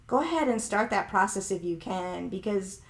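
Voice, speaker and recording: A woman of middle age whose voice sounds young for her years, at a middle pitch for a woman. She talks through her thoughts on a podcast in a loose, reflective way, and she keeps qualifying her points as she goes. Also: lively intonation, normal speed. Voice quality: youthful-sounding voice. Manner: reflective, conversational, hedging with "allegedly" and "if you will". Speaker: podcast host. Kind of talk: casual commentary podcast, reading viewer comments.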